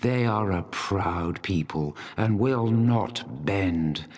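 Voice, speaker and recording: An actor playing an ancient, proud and embittered dragon in a fantasy video game, speaking in a solemn, deliberate, coldly menacing way. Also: male voice, low voice, animated, a little mellow, full character voice. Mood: bitter, grave. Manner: slow, deliberate, solemn delivery.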